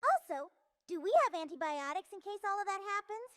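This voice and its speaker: A woman's voice: very high voice, animated, brisk, fairly bright tone, dry recording, clean studio sound. A woman voicing a cautious, questioning child in a cartoon-style comic dub. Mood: concerned. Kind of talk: comic dub character acting.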